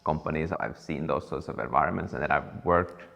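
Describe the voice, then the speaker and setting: A young man from Finland, chatting casually in a barber shop and pausing to find his words. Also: extremely low pitch, gravelly, lo-fi sound. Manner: thoughtful, searching for words. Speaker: guest, business partner. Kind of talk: casual conversation. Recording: reverberant room, faint ambience.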